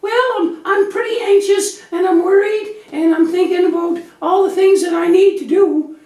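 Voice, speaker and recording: Very high-pitched anxious little lamb puppet. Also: female voice, moderate pace, a little mellow. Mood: anxious, worried. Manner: naive, earnest, chatty and repetitive. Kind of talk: children's church puppet show. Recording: echoey room, distant microphone.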